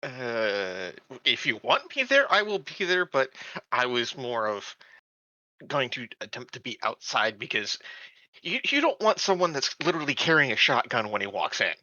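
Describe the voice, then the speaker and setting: A man with a very bright, animated voice chats casually as a tabletop game player. The audio is dry and slightly lo-fi. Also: mid-range voice, moderate pace, no echo. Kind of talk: casual planning discussion.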